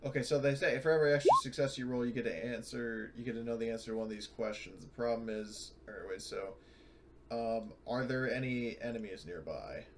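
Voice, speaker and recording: A man's voice: mid-range voice, brisk, background noise. A man playing a tabletop roleplaying game online, chatting casually and thinking aloud hesitantly.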